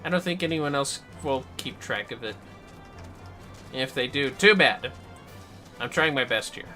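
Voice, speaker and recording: A man's voice: mid-range voice, animated, measured pace, bright, forceful tone, soft background music. A man giving casual, playful, sweary commentary on a gaming livestream, prone to exclamations.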